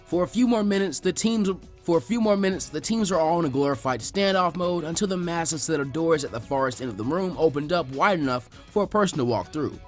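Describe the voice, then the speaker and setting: A man's voice: fairly high pitch, lively intonation, fast, hardly any pauses. A man reading an anime fan fiction story aloud as scripted third-person narration. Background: faint music.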